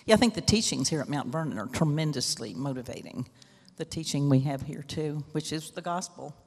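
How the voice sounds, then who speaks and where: Chatty loud-voiced woman, echoey hall. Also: deep voice, lively intonation, brisk. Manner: loud voice, chatty, with frequent fillers. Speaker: church member sharing a reflection. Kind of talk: casual church testimony. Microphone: microphone.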